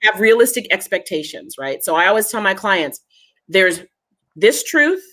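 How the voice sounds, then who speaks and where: A woman's voice: mid-range voice, sing-song, normal speed, fairly bright tone. Earnest woman who repeats key points. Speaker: genealogy foundation guest. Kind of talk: conversational interview.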